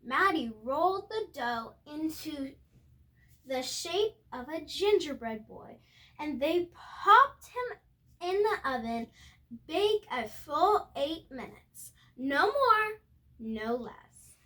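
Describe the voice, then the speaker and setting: A young girl hosting a video, reading a storybook aloud haltingly, repeating and correcting words, with casual asides to viewers. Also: high voice, very animated, fairly bright tone. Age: child.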